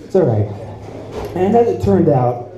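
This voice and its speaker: A man's voice: on the high side, sing-song, measured pace, lo-fi sound, a crowd in the background. A young man doing a rambling, self-mocking stand-up comedy set on a small club stage, speaking into a handheld mic. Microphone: handheld mic, heard from the audience. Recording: echoey club with audience noise. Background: audience.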